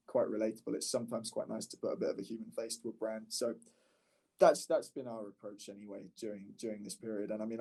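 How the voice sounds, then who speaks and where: Low, even-voiced man in casual conversation. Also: vocal fry, breathy edge, rough recording. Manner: hesitant, rephrasing mid-sentence, candid. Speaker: startup founder and interview guest. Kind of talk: casual conversational podcast interview.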